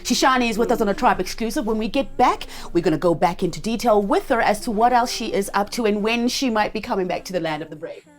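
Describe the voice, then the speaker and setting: Woman, radio host, upbeat, enthusiastic, mid-pitched voice. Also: quick pace, hardly any pauses. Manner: upbeat, enthusiastic, animated delivery. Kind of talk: radio interview hosting.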